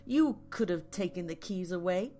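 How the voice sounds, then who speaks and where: A woman's voice: lowish voice, animated, moderate pace, mellow, soft background music, natural voice. A woman playing a bratty, spiteful monstrous aunt in a horror audio story, whining and hissing theatrically. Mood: indignant fury.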